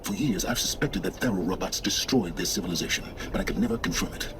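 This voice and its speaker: An actor playing a condescending, pompous middle-aged male scholar of ancient ruins, with measured, theatrical delivery in a video game. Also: low-pitched, background noise, natural voice.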